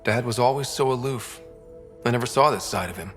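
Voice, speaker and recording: A man's voice: mid-range voice, fairly quick, soft background music, close to an everyday voice. A man playing a young, easygoing space explorer and team leader in video game dialogue, speaking casually. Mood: reflective. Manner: casual, conversational.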